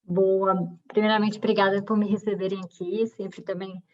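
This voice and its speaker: A woman's voice: medium pitch, flat delivery, normal speed. A young woman in her twenties with a Bahian accent, a recruiter speaking as a webinar guest, chatty with many fillers and restarts. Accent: Bahian Brazilian Portuguese. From Bahia, Brazil. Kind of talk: webinar interview. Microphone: video call. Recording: dull lo-fi video call audio.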